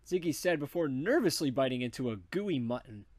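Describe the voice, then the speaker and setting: A man's voice: medium pitch, expressive, fairly quick, a crowd in the background. A man narrating a comic story as he reads it aloud. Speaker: narrator, reader.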